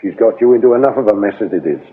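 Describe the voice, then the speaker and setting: An actor playing a shrewd, scornful British police inspector interrogating in a radio drama, sardonic and accusing. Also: male voice, lowish voice, very fast, husky. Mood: scornful, stern. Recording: lo-fi, narrow-band radio drama recording.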